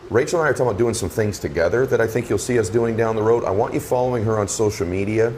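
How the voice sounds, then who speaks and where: A deep-voiced middle-aged man hosting a podcast, talking with eager, effusive energy. His low voice carries over a faint hiss of background noise. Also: racing. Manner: effusive, animated, heaps on praise. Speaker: podcast host. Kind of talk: enthusiastic interview chat.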